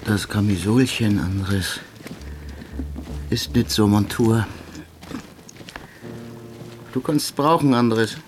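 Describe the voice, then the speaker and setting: Resigned soldier around thirty, low slow voice, halting delivery, radio play, music behind. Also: male voice. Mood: resigned, solemn. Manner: halting, terse, measured. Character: doomed thirty-year-old soldier, brooding, resigned. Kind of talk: radio drama acting.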